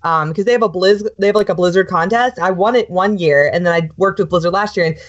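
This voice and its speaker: A woman's voice: on the low side, fast, muffled recording. A woman co-hosting a podcast who chats casually with a slight lisp and laughs often. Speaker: podcast co-host. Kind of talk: casual chat.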